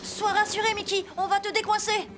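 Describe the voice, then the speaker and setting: A woman voicing an earnest, concerned little mail truck in a children's cartoon, expressive and caring. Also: extremely high pitch, brisk. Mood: reassuring. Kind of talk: dubbed children's cartoon acting. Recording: music behind the voice.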